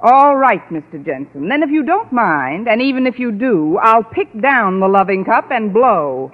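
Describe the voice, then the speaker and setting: An actress playing a wry, sarcastic, quick-witted woman schoolteacher in an old-time radio comedy. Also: a little high, very animated. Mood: exasperated. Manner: dry, sarcastic wisecracking. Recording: dull, noisy old radio recording, studio audience.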